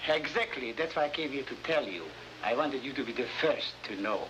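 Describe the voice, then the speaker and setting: An actor playing a smug, scheming Latin American newspaper publisher in a theatrical, oily 1950s television style. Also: male voice, mid-range voice, animated, a little fast, close to an everyday voice. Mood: smooth, gloating. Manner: theatrical, oily, mock-courteous. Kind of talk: early 1950s TV adventure acting. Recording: noisy old 1950s television soundtrack.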